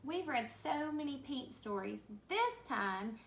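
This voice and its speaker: A woman teacher reading a picture book aloud to children, gently pointing out the pictures and explaining. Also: high voice, big pitch swings, slow pace, gravelly, slight background noise.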